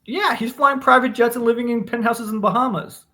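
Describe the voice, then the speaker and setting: A man's voice: very high voice, fairly even intonation, brisk, bright, forceful tone. An American man co-hosting a casual book discussion in an opinionated, emphatic way. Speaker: podcast co-host.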